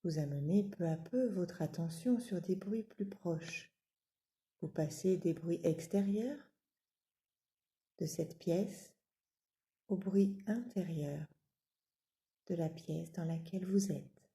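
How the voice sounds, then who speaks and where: A woman guiding a yoga nidra relaxation in a calm, soothing, measured manner, giving gentle spoken instructions with long pauses. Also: lowish voice.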